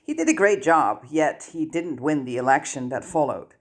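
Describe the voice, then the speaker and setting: A woman's voice: sits low, animated, normal speed. A woman narrating fan fiction as an audiobook, reading scripted prose.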